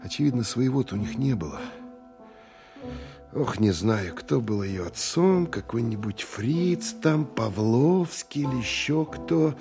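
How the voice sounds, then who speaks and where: An actor playing a wry, emotional young military scout, musing colloquially in a first-person dramatic monologue. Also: male voice, mid-range voice, animated, measured pace. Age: 20s. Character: wry, emotional 25-year-old counterintelligence scout recounting a stakeout. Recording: music behind the voice.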